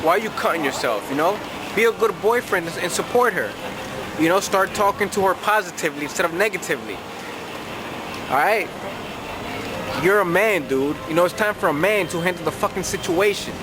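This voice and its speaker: Young man, tipsy advice vlogger, profane and brash, higher voice, outdoors with traffic noise. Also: expressive, fairly quick. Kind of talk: casual advice vlog, reading viewer letters. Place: outdoors in a city at night.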